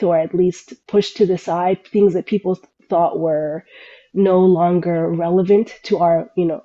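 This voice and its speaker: Guest, young woman, mellow tone, thoughtful with many restarts, from Sudan and the US, lo-fi call sound. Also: fairly low pitch. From Sudan and United States. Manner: reflective, searching for words with many restarts. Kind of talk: casual interview talk.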